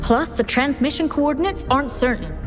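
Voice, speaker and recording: A dutiful female operations officer in a video game, voiced by an actress. She speaks in a fairly high voice with brisk, businesslike delivery. Music is clearly audible behind her. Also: close to an everyday voice. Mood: serious, concerned. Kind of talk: scripted game voice acting.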